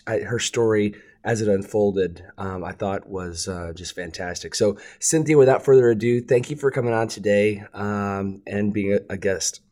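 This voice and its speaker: Male podcast host, lowish voice, scripted intro, then loose chat. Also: moderate pace, few pauses. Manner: warm friendly host, hesitant with fillers when unscripted.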